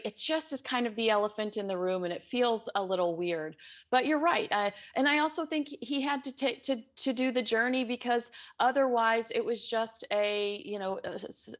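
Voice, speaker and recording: A woman with an American accent, speaking conversationally as a guest commentator in a news interview. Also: medium pitch. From United States. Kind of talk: conversational punditry.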